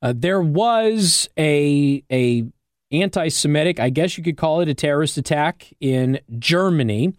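A man's voice: on the high side, animated, measured pace, no echo, clean studio sound. An American man hosting talk radio, delivering an opinionated monologue with emphatic stress on key words. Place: radio studio.

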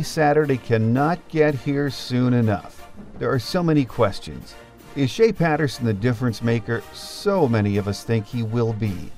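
American guy hosting a sports podcast, medium pitch, sometimes a bit of music around. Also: a little mellow. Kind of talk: scripted podcast narration and interview.

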